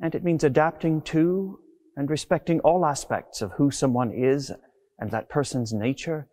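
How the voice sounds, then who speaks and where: Middle-aged male preacher, animated pitch. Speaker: preacher, Anglican clergyman. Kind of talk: sermon, measured scripted delivery. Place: inside a large stone church. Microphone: lapel mic.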